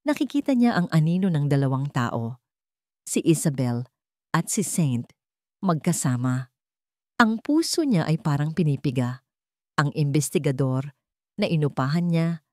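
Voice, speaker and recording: A woman narrating a scripted dramatic story with an expressive delivery. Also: sits low, dry recording, clean studio sound.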